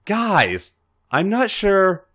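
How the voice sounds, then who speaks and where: A man's voice: high-pitched, huge pitch swings, fairly bright tone, voice pushed up, full character voice. A nervous male champion, acted out by a man reading a story speaks in a raised, swooping voice. He is hesitant and flustered, stumbling over his words. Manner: halting, stammering.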